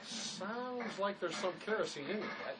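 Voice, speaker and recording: A man's voice: high voice, expressive, moderate pace, background noise. A man acting as a laid-back, resourceful escaped convict in a drama, speaking in a dry, folksy, matter-of-fact way. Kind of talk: dramatic script performance.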